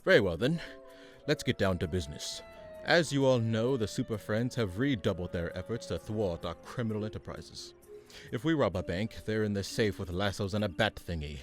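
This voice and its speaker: A man's voice: fairly low pitch, lively intonation, moderate pace, soft background music, close to an everyday voice. A man playing a weary, pompous supervillain mastermind running a meeting in a comedic radio play, businesslike and dryly exasperated. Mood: pompous scheming.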